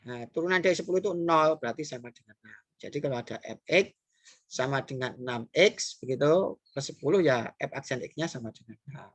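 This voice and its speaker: A male math teacher with an East Javanese Indonesian accent talks his students through a recorded lesson one step at a time. He pauses often on fillers and circles back to repeat the key rule. His mid-pitched voice sounds dry and close, with no room echo. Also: animated. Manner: hesitant, frequent "eh" fillers, repeats key points; addresses students directly, step-by-step. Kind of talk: recorded classroom math explanation.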